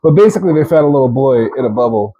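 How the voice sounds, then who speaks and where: A man co-hosting a comedy podcast, joking crudely and laughing loudly as he riffs. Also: medium pitch, lively intonation, rapid-fire, breathy edge, no echo, dull audio. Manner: loud laughing, crude joking, acts out quotes in put-on voices. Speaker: podcast co-host. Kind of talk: casual comedic podcast banter.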